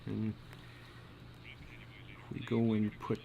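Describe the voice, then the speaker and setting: Man, creaky voice, unhurried pace, casual tutorial murmur, thinking aloud, some background noise. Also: on the low side, monotone, narrow, tinny audio. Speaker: storyboard artist giving a drawing tutorial.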